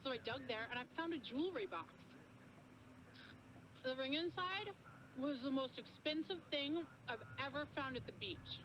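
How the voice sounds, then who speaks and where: A smug, chatty beachcomber phones in to a radio show to brag, played by a woman. Her voice sits high, and a steady background noise hangs under the line. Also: moderate pace, dull audio. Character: boastful young woman beachcomber calling in. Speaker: caller.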